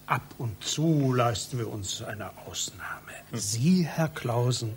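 Slow, smug, sly library director. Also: male voice, medium pitch, animated, slight background noise. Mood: coolly sinister. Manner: condescending, drawling, chuckling menace. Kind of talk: satirical German radio play acting.

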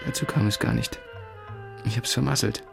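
Gentle thirties radio host. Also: male voice, medium pitch, huge pitch swings, normal speed, vocal fry, over music, exaggerated voice. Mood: earnest, regretful. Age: 30s. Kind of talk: radio drama acting.

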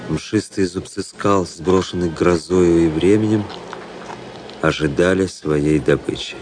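Radio drama lead: cool, proud young officer narrator, low voice, measured and fatalistic, faint music underneath. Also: male voice. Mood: somber. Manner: measured, literary, composed.

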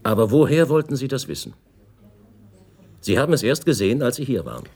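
A man's voice: mid-range voice, sing-song, fairly quick, creaky, darkish tone, husky, heavily acted. An actor playing a wary older Italian businessman and protective father in a radio play, guarded and probing with short questions. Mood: subdued, wistful.

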